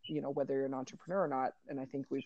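A woman's voice: very deep voice, level tone, racing, darkish tone, no room sound, dull audio. A woman hosting a casual mindfulness podcast, talking loosely with fillers and restarts. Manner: rambling, many fillers and restarts. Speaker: podcast host, coach to entrepreneurs.

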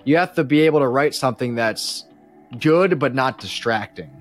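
A man chatting casually as a podcast guest, explaining in a halting, self-effacing way. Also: mid-range voice, lively intonation, faint music behind. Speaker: podcast guest, musician and composer. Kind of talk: casual chat.

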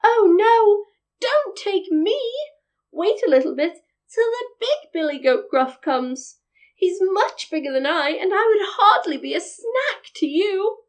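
A woman's voice: extremely high pitch, big pitch swings, takes it slowly, voice pushed up, full character voice. A woman voicing an anxious, middle-sized billy goat for a storybook, pleading and bargaining. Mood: worried pleading.

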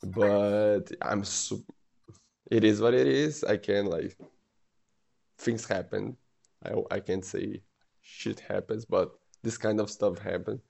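A man's voice: fairly low pitch, slow, vocal fry, long pauses. A man with a slightly non-native way of speaking English, chatting casually and leaning on "like" as a filler. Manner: relaxed, uses filler "like" often. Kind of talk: casual chat.

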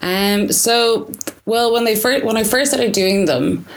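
A young woman, an artist, answering interview questions in a reflective, halting way with many fillers and restarts. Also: on the high side. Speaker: artist guest.

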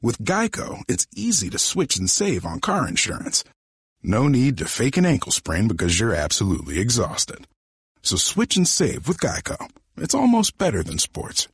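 A man reads a comic advertisement with playful, jokey timing. His husky voice swings over an extremely wide range. The sound is dry and close. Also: medium pitch, huge pitch swings, normal speed, dry recording. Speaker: ad announcer. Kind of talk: scripted comic ad read.

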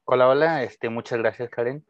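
A man's voice: mid-range voice, normal speed, no echo. A young Mexican man with a Mexican Spanish accent, a guest teacher answering casually and friendly, with hesitations and restarts. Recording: dull lo-fi dry sound.

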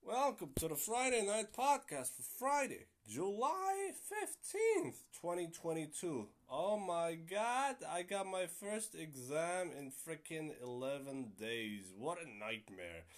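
A man's voice: fairly high pitch, big pitch swings, slow, hardly any pauses. Rambling young male podcast host. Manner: rambling, swears casually, many fillers. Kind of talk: casual solo podcast chat.

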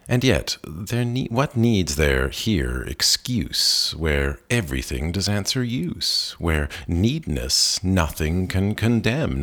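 A man with a very deep voice reads verse aloud at an unhurried pace. His delivery rises and falls expressively from line to line. He reads deliberately and now and then stops to correct a word. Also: fairly bright tone. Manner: deliberate, with occasional self-corrections. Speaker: poetry reader. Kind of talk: slow measured poetry reading.

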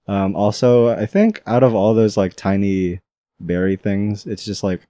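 A young man from the Philippines chatting casually in a slangy, flat monotone way, ranking his favorite fruits. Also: lowish voice, a little slow, dry recording, clean studio sound. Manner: laid-back slangy talk, frequent swearing.

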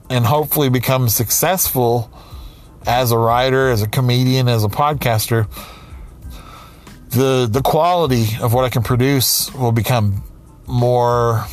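A man around forty speaking slowly and candidly, pausing and restarting as he thinks aloud. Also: mid-range voice. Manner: slow, halting, with many restarts and pauses. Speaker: aspiring writer, comedian and podcaster. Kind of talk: reflective personal monologue.

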